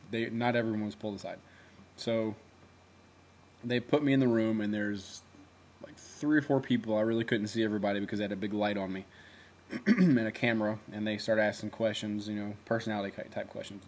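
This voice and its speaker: A young man with a deep voice that sometimes swallows his words, telling a chatty story in a casual video diary with fillers and self-corrections. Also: slight background noise. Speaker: former reality show contestant.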